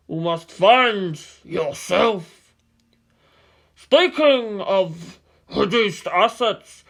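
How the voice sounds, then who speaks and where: A narrator putting on a slow, stern old conspirator. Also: medium pitch, creaky, a bit bright, pitched above the performer's own voice. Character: stern, demanding old male committee member. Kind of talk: voiced character in anime fan fiction reading.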